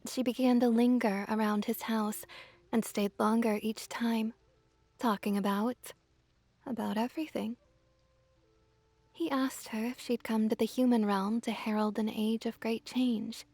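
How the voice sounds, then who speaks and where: A woman voicing a gently dominant, teasing kitsune witch in an intimate ASMR roleplay, speaking softly and close, often near a whisper. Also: a little high, steady tone, no echo. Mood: gentle wistful storytelling. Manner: soft, breathy, slow cooing delivery, often near whisper. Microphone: close ASMR microphone.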